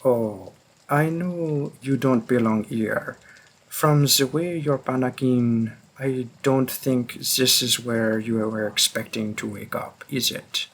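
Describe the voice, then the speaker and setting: A man playing a centuries-old vampire hunter, coolly menacing, deliberate and wry, in a dramatic audio roleplay with a husky voice. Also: medium pitch. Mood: wry, restrained. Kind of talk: slow dramatic second-person roleplay monologue.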